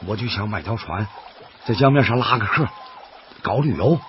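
An actor playing an earnest, stubborn, cheerful laid-off worker in a radio drama, coaxing and folksy, with a Northeastern Chinese accent. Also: male voice, on the low side, animated, moderate pace, close to an everyday voice. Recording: dull lo-fi audio, loud background noise.

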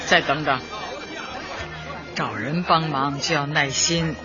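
A woman's voice: sits low, slow, crowd noise. Kindly older female Party secretary, earnest and patient, radio drama with music behind a dull recording. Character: devoted, kindly elderly woman community Party secretary.

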